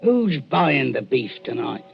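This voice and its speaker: An actor playing a callous, greedy body snatcher with a working-class London accent in a radio horror drama. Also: male voice, mid-range voice, big pitch swings, unhurried, gravelly, full character voice. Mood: puzzled, cynical. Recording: dull lo-fi radio drama with music.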